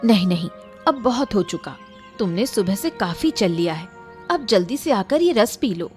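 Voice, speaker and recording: A woman acts out a caring cartoon wife with a middle-pitched voice. She is insistent and a little scolding. Her nagging comes from concern for her husband. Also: animated, normal speed, faint music behind, natural voice. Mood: urging. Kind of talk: cartoon story acting.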